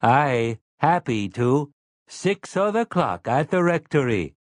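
A man's voice: mid-range voice, very animated, slow, no echo, studio quality, pitched above the performer's own voice. A man voicing a smug, stiff robot. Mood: smug, eager. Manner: stiff, formal, old-fashioned phrasing. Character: smug polite male robot, tall tin man. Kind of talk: audiobook character voice.

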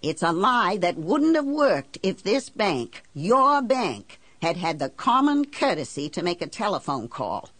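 A woman's voice: mid-range voice, big pitch swings, unhurried, hardly any pauses, fairly bright tone, no room sound, voice pushed up. A woman playing a blunt, embittered working-class island housewife who tells her story in folksy, ungrammatical country talk for an audiobook. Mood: insistent, pleading. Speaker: audiobook narrator. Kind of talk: first-person storytelling in character.

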